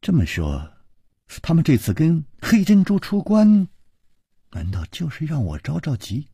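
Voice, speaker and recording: A man's voice: fairly high pitch, wildly swooping pitch, measured pace, warm tone, slightly rough, no echo, clean studio sound, voice pushed up, full character voice. A man voicing a troubled, puzzled martial-arts hero in a storybook, in a hesitant, bemused manner. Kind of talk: Chinese wuxia storybook character voice.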